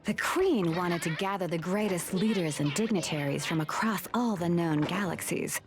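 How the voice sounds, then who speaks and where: An actress playing a tough, wry woman survivor, narrating a video game story with dramatic flair and dry humour. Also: fairly low pitch. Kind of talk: scripted first-person cutscene narration. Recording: music behind the voice.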